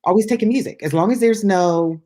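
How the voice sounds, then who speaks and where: A woman's voice: medium pitch, brisk, mellow. A woman co-hosting a music talk show, chatting casually and unscripted in a rambling, easygoing way with ready laughter. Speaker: radio show co-host.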